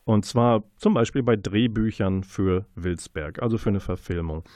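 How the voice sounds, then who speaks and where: A man's voice: fairly low pitch, moderate pace, darkish tone. A man hosting an interview, asking questions in a conversational, playful and wordy way. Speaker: interviewer, radio host.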